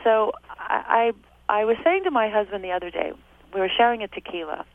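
A woman's voice: mid-range voice, animated, brisk, creaky, no echo. Woman, 60s, chatty guest author, heard through a tinny phone line. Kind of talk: interview over the phone.

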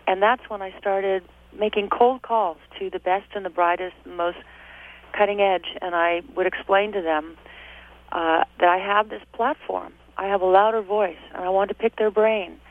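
A woman's voice: mid-range voice, fairly even intonation, normal speed. Woman, 60s, chatty guest author, heard through a tinny phone line. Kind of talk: interview over the phone.

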